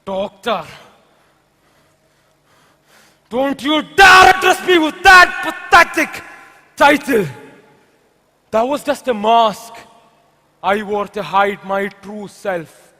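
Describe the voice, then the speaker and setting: A man's voice: very high voice, lively intonation, slow, sharp tone, exaggerated voice. A man with an Indian accent playing an arrogant criminal mastermind, taunting and pompous in a theatrical stage style. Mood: scornful fury. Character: gloating male criminal mastermind, arrogant puppeteer villain. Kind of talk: amateur stage drama dialogue. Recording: echoey hall, long reverb.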